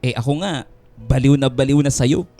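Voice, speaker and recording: An actor playing an insecure, devoted young boyfriend in a radio drama, speaking earnestly and hesitantly. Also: male voice, medium pitch, normal speed, warm tone, natural voice. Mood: earnest, doubting. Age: young adult. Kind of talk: Filipino radio drama dialogue. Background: background music.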